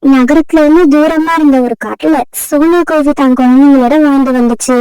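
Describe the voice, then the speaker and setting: A woman's voice: sits high, fairly even intonation, fairly quick, warm tone, dry recording, far from the mic, poor audio. A woman narrating a scripted children's story as the storyteller.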